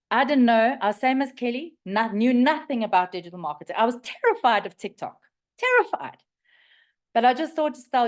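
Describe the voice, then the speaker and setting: A South African woman in her fifties, raspy from recovering from flu, sharing her story frankly on a group call. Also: mid-range voice. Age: 50s.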